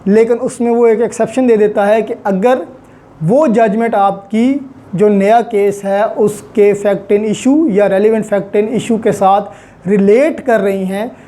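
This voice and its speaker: Female law lecturer, Pakistani accent, very mellow dark tone, methodical and repetitive explanatory delivery. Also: mid-range voice. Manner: methodical, repeats key points in short phrases. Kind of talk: explanatory lecture reviewing legal articles.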